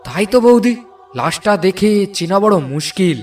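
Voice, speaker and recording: A man's voice: high voice, lively intonation, normal speed. A man voicing a concerned young villager in a cartoon, earnest and urging. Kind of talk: cartoon voice acting. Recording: slightly distant, music behind.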